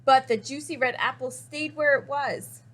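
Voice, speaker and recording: Female storyteller, high voice, expressive picture-book read-aloud with dramatic emphasis and pauses. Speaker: story reader.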